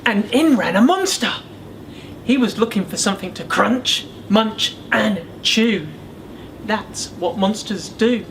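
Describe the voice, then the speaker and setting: A man reading his own picture book aloud as the author, in an expressive storytelling style for children. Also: high voice, slow pace, poor audio. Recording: faint background noise.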